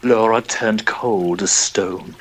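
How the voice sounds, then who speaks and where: A man narrating a poem in a theatrical, measured storytelling style. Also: on the low side. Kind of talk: dramatic poetry reading, storytelling.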